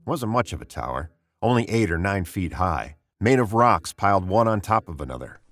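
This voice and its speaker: Casting: commanding space captain and narrator, low and highly expressive, brusque, 1950s radio acting. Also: male voice, fairly quick, no echo, clean studio sound, full character voice. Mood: brooding. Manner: brusque, authoritative.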